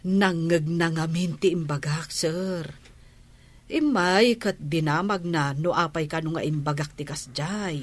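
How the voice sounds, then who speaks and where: A woman's voice: low voice, a little slow. A woman with an Ilocano accent playing a caring, deferential household nanny in an audio drama. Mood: earnest, deferential. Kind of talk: Ilocano radio drama acting.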